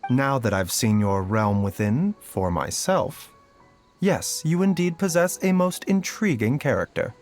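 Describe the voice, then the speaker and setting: An actor playing a gentle, scholarly doctor in a fantasy game, speaking in a measured, polite and cautious way. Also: male voice, mid-range voice, sing-song. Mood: courteous musing. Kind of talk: scripted game voice acting. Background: background music.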